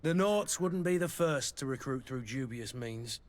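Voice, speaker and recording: A man's voice: medium pitch, expressive, measured pace, noisy background, close to an everyday voice. An actor playing a sceptical, loyal male companion in a video game, plain-spoken and questioning.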